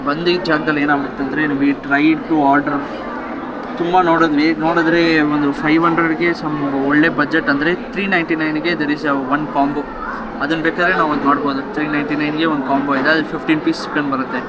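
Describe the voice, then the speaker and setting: A man's voice: a little high, level tone, a little fast. A young man chatting casually in a food vlog, mixing English into his speech. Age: young adult. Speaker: food challenge vlogger. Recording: loud music and crowd noise behind.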